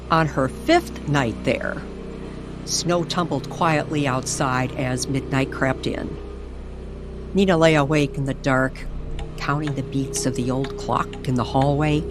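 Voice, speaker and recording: A woman narrating a mysterious story in old-time radio style, deliberate and suspenseful, with dramatic pauses. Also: extremely low pitch, fairly even intonation. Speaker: co-narrator of an audio story series. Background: music under the voice.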